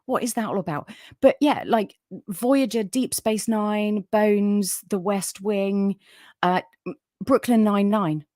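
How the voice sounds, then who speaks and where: A woman's voice: mid-range voice, takes it slowly, dry recording, clean studio sound. A woman with a soothing voice hosting a casual livestream, chatting with viewers and reading their comments with lots of fillers. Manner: chatty, warm toward viewers, many fillers. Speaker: livestream podcast host.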